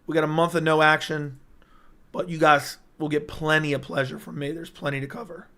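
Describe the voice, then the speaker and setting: Hoarse young man livestreaming, voice cracking. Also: a little high, flat delivery, fairly quick, pushed and bright. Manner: hyped, rambling, talks straight to chat. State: voice strained and cutting in and out. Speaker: MMA YouTuber hosting a livestream. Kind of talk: casual livestream chat reading viewer comments. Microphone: personal streaming mic.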